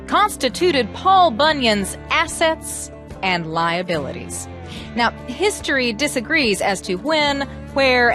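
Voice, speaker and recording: Lady narrating tall tales, super animated and expressive, music playing under her. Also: mid-range voice. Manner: expressive, theatrical, emphatic phrasing. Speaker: storyteller and presenter. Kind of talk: animated storytelling narration of American folklore.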